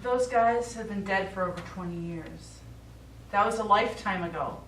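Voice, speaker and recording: A woman's voice: medium pitch, normal speed, fairly bright tone, natural voice. Woman acting an exasperated grown daughter, echoey stage. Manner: insistent, pointed questioning. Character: exasperated, caring adult daughter of a forgetful father. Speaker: stage actor. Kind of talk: live one-act stage play acting. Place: small theatre stage. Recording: echoey theatre, distant mic, faint noise.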